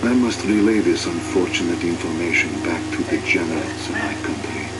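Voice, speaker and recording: An actor playing a world-weary air force intelligence officer, narrating a video game cutscene in a measured, formal way. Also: male voice, deep voice, close to an everyday voice. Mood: wry, resigned. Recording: loud music behind the voice. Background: loud music.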